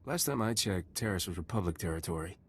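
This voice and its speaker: Cocky game smuggler, lowish pitch, rapid delivery, wry, swaggering. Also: male voice, animated, slight background noise. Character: cocky, roguish young male smuggler captain.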